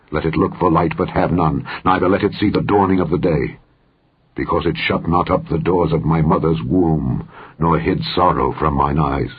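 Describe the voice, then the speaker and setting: A man's voice: sits low, slight background noise, natural voice. A man reading the part of an anguished, grieving man lamenting his life in a solemn, declamatory, mournful manner. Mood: grave, cursing. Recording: tinny, phone-like narrow-band recording.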